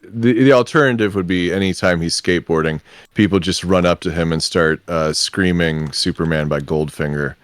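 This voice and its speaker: Low-voiced male podcast panelist, informal chat, close dry sound. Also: normal speed, smooth, dry recording. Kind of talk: casual chat.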